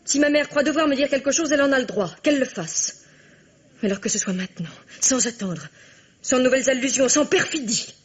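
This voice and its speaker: A woman's voice: sits high, animated, fairly quick, slightly rough. An actress playing a determined young daughter in an old radio drama, insistent and formal as she demands the truth. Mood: defiant, urgent.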